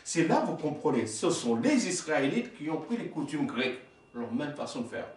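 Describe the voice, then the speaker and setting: A man's voice: on the high side, sing-song, normal speed, slight background noise. A man in his forties preaching in a small indoor worship room, reading passages aloud and explaining them with rhetorical questions, sometimes stumbling and correcting himself. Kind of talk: sermon reading and explaining texts. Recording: echoey room, voice from a distance.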